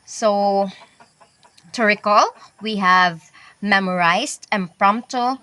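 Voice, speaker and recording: Lady giving a lecture, bright voice, slow listy delivery, dry recording. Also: medium pitch, no echo. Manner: explains step by step, lists points slowly. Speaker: teacher.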